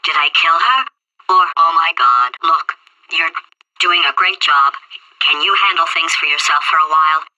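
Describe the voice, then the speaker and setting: A woman voicing a sarcastic female computer AI stuck in a potato, with a robotic processed voice and deadpan insults. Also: sits low, moderate pace, rough recording. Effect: robotic synthetic processing. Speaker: video game character. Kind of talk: game voice acting.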